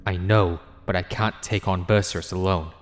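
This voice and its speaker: A man's voice: sits low, steady tone, moderate pace, slight background noise, natural voice. A man playing an anxious, defensive time-travelling alien in an audio drama, speaking in an agitated, insistent way.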